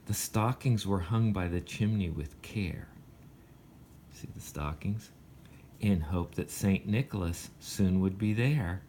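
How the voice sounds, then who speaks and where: Older man, low-pitched voice, gentle grandfatherly storytelling, reading verse to small children, faint background noise. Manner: gentle, addressing young children, with short explanations. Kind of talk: read-aloud storytelling of a classic poem with short asides.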